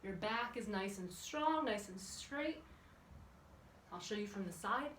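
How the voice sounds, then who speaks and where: A woman's voice: mid-range voice, animated, measured pace, a bit bright. A woman leading a children's workout, chatty and encouraging, putting questions to her young listeners as she instructs. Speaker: kids' exercise and yoga instructor. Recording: echoey room, distant mic, background noise.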